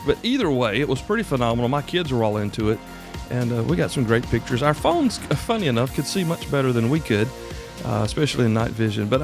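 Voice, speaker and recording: A man hosting a podcast solo, talking in a casual, folksy, rambling way full of asides. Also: medium pitch. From South Carolina, United States. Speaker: podcast host. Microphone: close podcast microphone.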